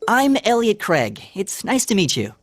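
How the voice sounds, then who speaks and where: An American man voicing a gentle, friendly, boyish teenager in a video game, polite and soft-hearted. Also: sits high, wildly swooping pitch, moderate pace, no echo, soft background music.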